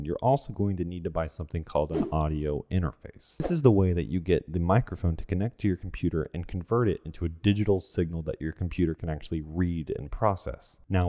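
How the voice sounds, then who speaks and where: A man's voice: low voice, dark tone, long reverb tail. A young man in his twenties hosting an audio gear channel, explaining microphones in a friendly, conversational way from his home studio. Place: home studio room with large windows. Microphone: large studio microphone close up, wearing headphones.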